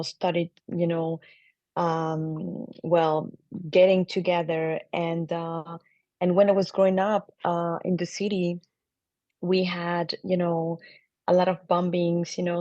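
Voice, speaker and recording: Soft-spoken Peruvian-born woman, hesitant. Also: lowish voice, no echo, highs cut off. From Peru. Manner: thoughtful, hesitant, many fillers, soft laughs. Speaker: film director guest. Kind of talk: podcast interview answers.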